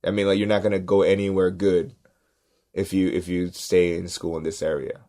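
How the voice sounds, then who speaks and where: A man tells stories in a casual podcast chat. He is animated and often checks in with "right?" He acts out the other people in his stories. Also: low voice, normal speed. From Los Angeles, United States. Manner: animated, acts out quoted voices, repeats "right?". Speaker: podcast cohost, stand-up comedian. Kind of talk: casual storytelling.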